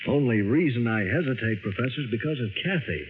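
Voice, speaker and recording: An American actor playing an earnest, troubled young expedition member in a 1940s radio drama. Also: male voice, mid-range voice, lively intonation, quick pace, natural voice. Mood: eager, respectful. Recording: tinny, noisy 1940s radio recording.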